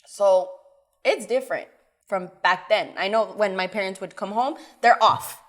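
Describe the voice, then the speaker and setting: A woman co-hosts a casual talk show at a medium pitch. She chats easily and slips in prepared talking points along the way. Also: a little slow. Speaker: co-host. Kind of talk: casual podcast chat.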